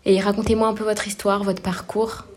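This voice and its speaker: A woman's voice: mid-range voice, level tone, a little fast, a little mellow. A woman asking simple, direct questions as an interviewer.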